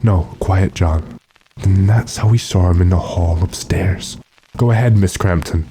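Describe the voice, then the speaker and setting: A man playing a calm, commanding gentleman vampire hunter in a radio horror drama, deliberate and authoritative. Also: sits low, breathy edge, exaggerated voice. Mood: calm, probing.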